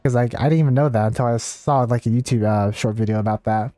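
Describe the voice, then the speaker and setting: A man's voice: mid-range voice, fast talker, warm tone, no room sound. A man livestreaming a game, chatting casually with viewers in a rambling, hesitant way. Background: faint game music.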